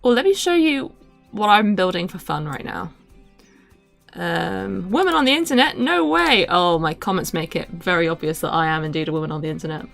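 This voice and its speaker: Young woman streamer, deep-ish voice, rambling and self-mocking, hums between thoughts, faint music behind. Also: lively intonation, brisk, vocal fry, a bit bright. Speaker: livestreamer. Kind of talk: casual game-stream chat.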